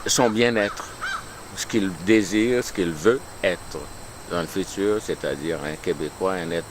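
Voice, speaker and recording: A man giving an unscripted personal statement on his political views, with halting, deliberate phrasing. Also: low voice. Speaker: local resident giving a personal testimonial. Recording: clear outdoor nature noise, insects.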